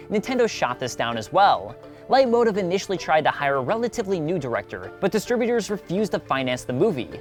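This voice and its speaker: A man hosting and narrating a scripted video essay in an emphatic, conversational presenter style. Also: on the high side. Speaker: video host. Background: background music.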